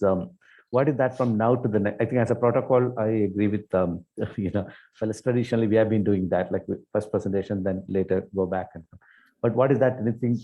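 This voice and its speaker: A man chairing a board meeting, hesitant, with many fillers and restarts. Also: lowish voice. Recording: dull lo-fi meeting audio.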